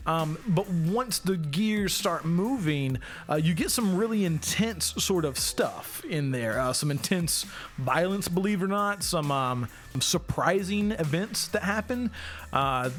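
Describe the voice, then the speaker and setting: A man's voice: high voice, expressive, a little slow, fairly bright tone. A man chatting informally as a film review co-host, with loose, slangy wording. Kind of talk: casual review chat.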